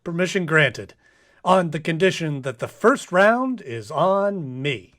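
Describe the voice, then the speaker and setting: A man acts as an earnest space captain from a radio comedy. His voice is high and swings widely. He speaks theatrically and gets flustered easily. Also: animated, slow pace, loud music behind the voice. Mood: humbled, gracious. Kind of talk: comic radio play acting.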